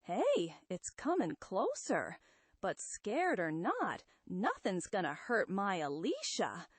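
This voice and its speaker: A woman voicing a hulking orange rock monster hero, a gruff but tender tough guy with slangy, ungrammatical talk. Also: mid-range voice, huge pitch swings, slow pace, no echo, full character voice. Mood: scared, defiant.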